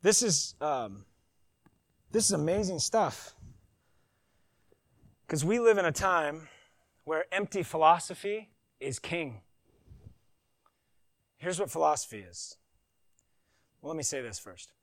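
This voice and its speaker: A man's voice: fairly high pitch, sing-song, slow pace, long pauses, fairly bright tone, no echo, studio quality. A man preaching a sermon, reading scripture with emphasis and breaking into conversational asides. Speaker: pastor.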